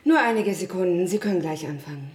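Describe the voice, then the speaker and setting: Actress as calm caring medic woman, off mic, echoey radio drama. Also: lowish voice, fast talker, recorded from a distance, natural voice. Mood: matter-of-fact. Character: matter-of-fact, compassionate female medical attendant. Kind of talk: German science-fiction radio play acting.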